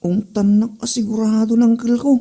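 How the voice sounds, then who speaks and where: A woman's voice: on the high side, little pitch movement, brisk, creaky, dark tone. A woman playing a cheeky schoolboy in a comedy audio drama, offhand and defiant, with a Northern Philippine accent. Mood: curious, casual.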